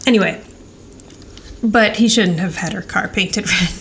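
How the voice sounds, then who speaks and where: A woman's voice: mid-range voice, measured pace, gravelly, a bit bright, a little hiss or hum. A woman co-hosting a podcast, casually and chattily recapping television. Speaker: podcast co-host.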